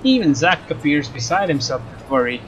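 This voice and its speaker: A man's voice: a little high, sing-song, brisk, fairly bright tone, slight background noise. A young man doing a let's play, reading story text dramatically and commenting casually. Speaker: let's player. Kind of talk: dramatic read-aloud with casual gameplay commentary. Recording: slightly dull mic over game music. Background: faint game music.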